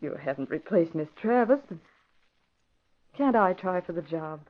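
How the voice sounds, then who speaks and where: A woman's voice: lowish voice, lively intonation, normal speed, close to an everyday voice. An actress playing a wry, wistful woman office secretary with ambitions, knowing in manner, in 1940s radio drama style. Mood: pleading, hopeful. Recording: muffled vintage radio broadcast.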